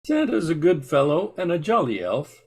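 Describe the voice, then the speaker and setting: Affable captain played by a man, somewhat high male voice, welcoming and formal, for amateur radio drama. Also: big pitch swings, moderate pace, darkish tone, slightly rough, exaggerated voice. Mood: genial. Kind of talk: amateur radio play acting.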